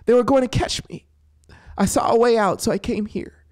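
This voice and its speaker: A man voicing a frightened, remorseful young girl, an AI, in a thin falsetto with halting, timid delivery for an audiobook. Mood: remorseful, scared. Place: small home music studio, indoors. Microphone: close studio microphone. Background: music soundscape.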